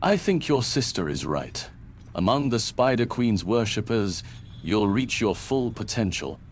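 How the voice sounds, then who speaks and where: A man's voice: on the low side, big pitch swings, unhurried, slight background noise. A man voicing an earnest, principled adventurer in a fantasy game, speaking firmly and sincerely. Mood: composed.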